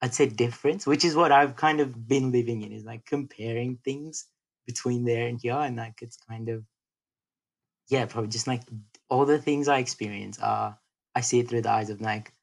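A young man from North India, chatting casually as a podcast guest in a relaxed, rambling way full of fillers and restarts. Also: medium pitch, moderate pace, creaky. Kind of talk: casual interview chat.

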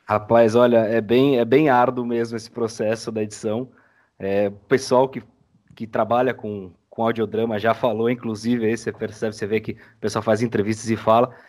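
A man's voice: lowish voice, a little mellow. A man speaking as a guest in a casual interview, explaining things informally. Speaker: podcast guest, audio drama creator.

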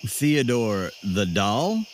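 A co-host in a comedic audio sketch, making dry, deadpan wisecracks. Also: medium pitch, drawn-out words, a little hiss or hum.

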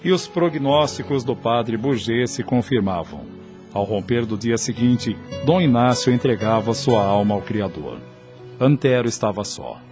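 A man's voice: medium pitch, animated, a little slow, a little mellow. A man narrating a radio serial in a scripted, dramatic storytelling style. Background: background music.

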